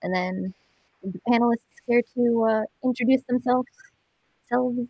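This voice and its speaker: Female panel moderator, slightly high voice, casual delivery, very dry close sound. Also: unhurried, no echo, lo-fi sound. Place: remote video call.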